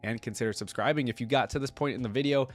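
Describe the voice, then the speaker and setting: A man's voice: medium pitch, very fast, soft background music. A man hosting a reaction video, giving casual, informal commentary and thinking aloud as he goes.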